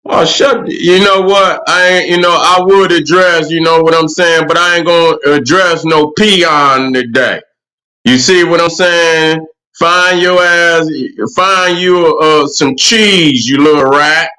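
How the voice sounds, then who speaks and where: High bright-voiced profane livestream host. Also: male voice, poor audio. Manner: profane, rambling, repeats "you know what I'm saying". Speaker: livestream host answering chat. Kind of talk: casual livestream commentary.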